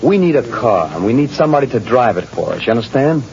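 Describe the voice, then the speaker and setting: An actor in an old radio drama playing a bossy, menacing bank robber who gives slangy commands. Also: male voice, medium pitch, very animated, quick pace, creaky, a little mellow. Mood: sly, bossy. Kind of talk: 1930s radio gangster acting. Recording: very noisy, muffled old radio recording.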